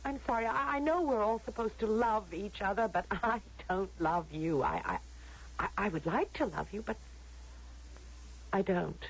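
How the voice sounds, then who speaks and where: An actress playing an earnest, frightened, stubbornly honest young woman in a radio drama, with halting delivery. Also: medium pitch, expressive, creaky, husky. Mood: anguished honesty. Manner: halting, earnest, repeating words. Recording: muffled old radio broadcast recording.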